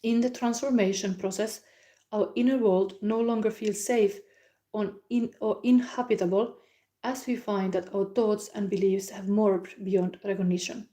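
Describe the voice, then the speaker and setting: Calm Finnish-accented woman, mellow voice. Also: mid-range voice. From Finland. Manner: calm, unhurried, loose non-native phrasing with frequent fillers. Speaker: psychic card reader. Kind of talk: live psychic reading and comment chat.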